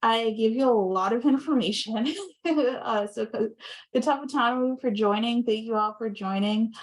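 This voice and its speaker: A woman guest speaker on a video call, soft-spoken with frequent ums, slightly hoarse from talking long. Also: a little high, normal speed, poor audio. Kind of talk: presentation wrap-up and casual chat on a video call.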